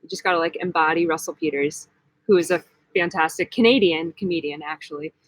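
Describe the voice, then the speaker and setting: A woman's voice: fairly low pitch, fairly even intonation, brisk, no room sound, low-quality recording. Chatty young woman, dry close sound. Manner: chatty, giggly, many likes. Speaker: vlog host. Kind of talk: casual live-stream Q&A.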